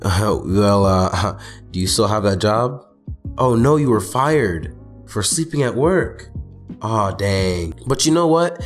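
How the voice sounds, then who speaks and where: A young man with a hoarse voice from being sick, talking casually and jokingly as a gaming YouTuber. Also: lowish voice. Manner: casual, jokey, slangy. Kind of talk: casual commentary video. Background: background music.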